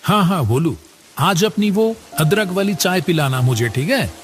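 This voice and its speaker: A man's voice: high voice, lively intonation, moderate pace. A man voicing a kindly, generous older village headmaster in a cartoon story, chatty and avuncular. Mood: concerned, friendly. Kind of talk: Hindi cartoon story acting.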